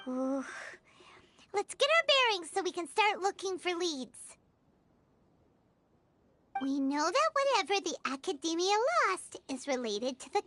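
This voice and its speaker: A woman voicing a tiny, childlike fairy companion in a game, excitable and inquisitive, with a squeaky voice and exclamatory manner. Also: heavily acted. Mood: pondering. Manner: exclamatory, speaks of herself in third person. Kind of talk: animated game voice acting.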